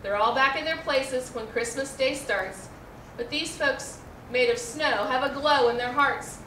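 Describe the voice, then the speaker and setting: A middle-aged American woman reads a rhyming children's picture book aloud in an expressive, carefully enunciated way. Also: high-pitched, a little slow. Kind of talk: children's storybook read-aloud. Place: indoor room, seated before a backdrop. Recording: roomy, distant mic, faint noise.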